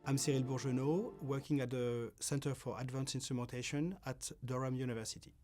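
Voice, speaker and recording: A man with a mid-pitched voice speaks in an interview. He explains his field as a researcher. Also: fairly even intonation, fairly quick, a little mellow, soft background music. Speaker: astronomy instrumentation researcher.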